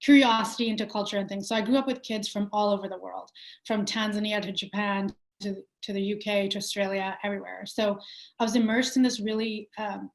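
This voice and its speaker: A woman's voice: medium pitch, steady tone, brisk. A young adult woman from Pakistan, a company founder answering interview questions in a thoughtful way, with many fillers and restarts. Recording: roomy echo.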